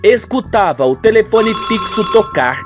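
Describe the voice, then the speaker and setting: Animated Brazilian male narrator. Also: sits high, unhurried, music in the background. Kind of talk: scripted radio soap narration.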